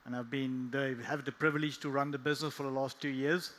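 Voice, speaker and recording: A man with a South African accent, a general manager speaking as a panelist in a panel discussion, jokey and quick to laugh. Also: mid-range voice, little pitch movement, a bit bright.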